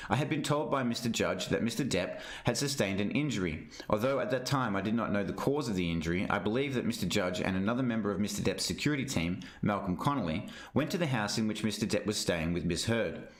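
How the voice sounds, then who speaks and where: A man reading a legal document aloud in a formal, measured narrating manner. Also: fairly low pitch, expressive. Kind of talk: formal read-aloud narration of a witness statement. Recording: roomy, echoing sound.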